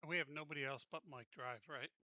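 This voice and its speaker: A man's voice: on the high side, fairly even intonation, quick pace, pushed and bright, no echo, dull audio. A man chatting casually as a player in a tabletop game.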